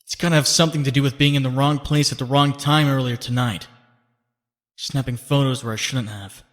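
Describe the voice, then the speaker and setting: A man's voice: medium pitch, steady tone, a little fast, fairly bright tone, lightly acted. A man playing a young superhero who narrates his inner thoughts in a comic book dub, reflective and confiding. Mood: uneasy, reflective. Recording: long cavernous reverb.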